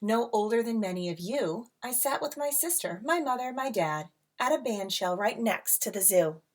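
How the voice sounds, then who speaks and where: A woman reading a picture book aloud to children as a teacher, with dramatic emphasis, drawn-out words and casual asides. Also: on the high side, lively intonation. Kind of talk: expressive storybook read-aloud with casual asides. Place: at home.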